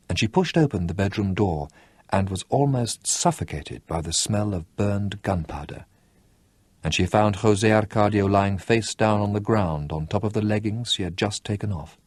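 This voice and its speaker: Husky low-voiced male storyteller. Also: no room sound. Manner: lively, dramatic reading with slowed emphasis. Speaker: storyteller reading a novel aloud. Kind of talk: expressive audiobook narration.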